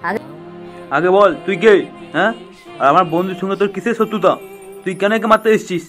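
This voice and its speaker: Man acting a brash, fast, high-pitched young villager. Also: steady tone, fairly bright tone, faint music behind. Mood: defiant. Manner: brash, challenging, slangy. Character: bold, foul-mouthed young village friend. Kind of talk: amateur comic cartoon acting.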